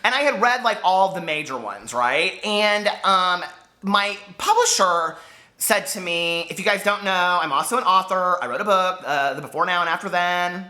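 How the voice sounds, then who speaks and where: A man's voice: high voice, normal speed, pushed and bright. An American man chatting casually to camera like a book vlogger, gushing and confiding, wandering off on tangents. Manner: gushing, confiding, digressive, emphatic.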